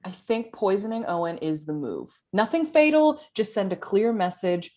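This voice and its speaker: A woman's voice: mid-range voice, big pitch swings, moderate pace, dark tone, close to an everyday voice. Woman playing a swooping, brash amateur private eye. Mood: conspiring. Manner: brash, pushy, emphatic. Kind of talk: table read of a comedy script.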